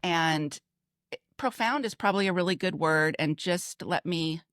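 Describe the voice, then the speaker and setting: A woman's voice: on the low side, moderate pace, fairly bright tone, dry recording, studio quality. A woman in her fifties, a podcast co-host, talking in a casual conversational style.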